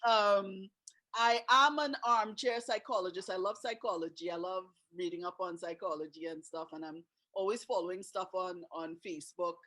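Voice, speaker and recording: A woman's voice: on the low side, steady tone, moderate pace, sharp tone. A woman with a Trinidadian accent, hosting a webinar over a video call in a chatty way and asking her guests questions. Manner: chatty, laughs often, asks questions. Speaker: webinar host.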